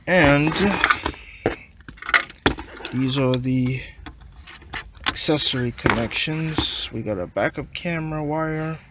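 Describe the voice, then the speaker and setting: A man's voice: a little high, takes it slowly, long pauses. A man presenting an unscripted hands-on installation tutorial, talking informally through each step as he works. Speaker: car audio installer, presenter. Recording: noisy, clicks and handling of parts.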